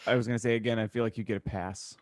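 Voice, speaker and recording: A man's voice: lowish voice, steady tone, rapid-fire, no echo, studio quality. A man co-hosting a podcast in casual, conversational chat. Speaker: podcast co-host.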